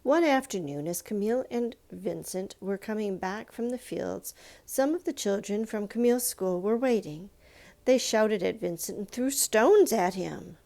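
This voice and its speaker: A woman reading a children's picture book aloud in a measured, expressive storytelling manner, with pauses. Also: mid-range voice, gravelly. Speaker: storybook reader.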